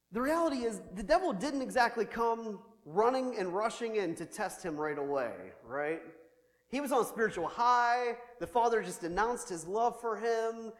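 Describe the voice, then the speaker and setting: A man preaching a sermon in church, animated, swinging between loud declaring and quiet asides. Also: high voice. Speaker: pastor. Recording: reverberant church hall.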